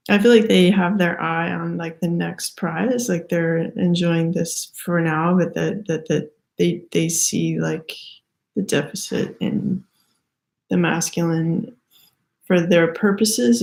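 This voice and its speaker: A woman with a Canadian accent giving a halting, thoughtful spiritual card reading, full of fillers and restarts. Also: on the low side, creaky. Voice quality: soft-spoken, hesitant, many fillers and restarts. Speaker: tarot reader.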